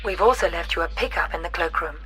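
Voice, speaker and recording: A woman playing a composed British handler giving a crisp, businesslike mission briefing in a video game. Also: on the low side, fast talker, sharp tone, soft background music. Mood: cool, businesslike. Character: cool, composed female handler briefing an assassin.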